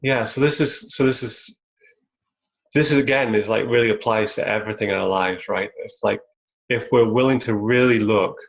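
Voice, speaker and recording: Male spiritual teacher, low gravelly voice, halting reflective delivery, dull web-call audio. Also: fairly even intonation. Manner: searching, halting, many "like"s. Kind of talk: reflective satsang talk. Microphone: video call.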